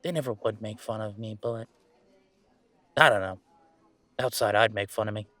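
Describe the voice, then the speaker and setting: A man playing an earnest, playful young werewolf gamer in an intimate, conversational roleplay audio, speaking in a halting, emphatic way. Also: lowish voice, dry recording. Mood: serious, uneasy. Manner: halting, emphatic, expressive, with sighs and chuckles.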